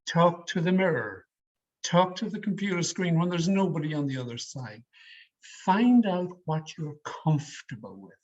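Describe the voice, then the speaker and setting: A man leading an online public speaking workshop in a deliberate, emphatic, varied delivery, as a trainer addressing his learners. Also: fairly high pitch, lively intonation, a little mellow. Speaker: speech trainer giving an educational session. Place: online video call. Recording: slightly muffled, lo-fi video call audio.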